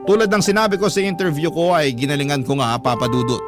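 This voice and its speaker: A man's voice: a little high, steady tone, fast talker, lightly acted. A man narrating a scripted first-person radio story as an earnest letter sender recalling the past. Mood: reflective. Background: prominent music.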